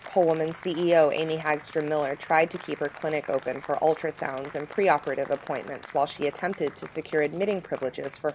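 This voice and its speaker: Low-voiced woman narrating a news report. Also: a little mellow, a little hiss or hum, rough recording. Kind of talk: scripted documentary narration.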